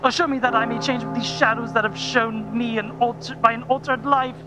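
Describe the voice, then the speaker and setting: Guy doing a scared old miser begging to change, high pitched, music behind, radio play style. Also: steady tone, brisk. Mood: desperate pleading. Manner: earnest, pleading. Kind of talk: theatrical radio play acting.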